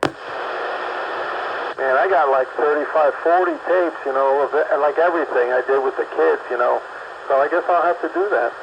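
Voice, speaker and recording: A man's voice: fairly high pitch, moderate pace, gravelly. A man calling in to a casual radio chat, rambling and hesitant with many restarts. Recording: dull lo-fi line with background noise.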